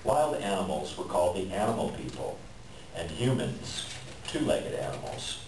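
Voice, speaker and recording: A man with a deep voice gives a formal introduction to a staged reading. He is picked up from a distance in an echoey room with clear background noise. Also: very animated, normal speed. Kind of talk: formal introduction and read author's note. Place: library room, live event.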